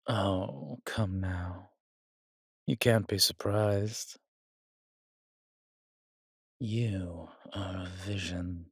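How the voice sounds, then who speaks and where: Man voicing a husky, courtly vampire seducer. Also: low-pitched, very slow, long pauses, no room sound, studio quality, exaggerated voice. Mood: seductive, adoring. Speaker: voice actor in an audio drama. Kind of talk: intimate romantic audio roleplay addressed to the listener.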